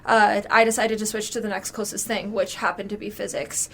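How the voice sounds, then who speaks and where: A young woman in her twenties answering interview questions, telling her story fluently and relaxed. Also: medium pitch, a little fast. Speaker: graduate student guest.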